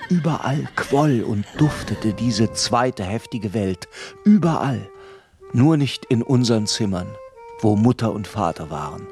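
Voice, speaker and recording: A man giving a measured, deliberate literary narration in a radio play. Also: lowish voice, animated, music in the background.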